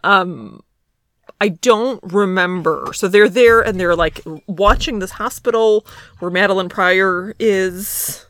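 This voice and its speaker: A woman's voice: mid-range voice, takes it slowly, fairly bright tone. A woman co-hosting a podcast, casually recapping a comic book in a wry, playful, loosely improvised way. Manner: wry, playful, often laughing. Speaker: podcast co-host.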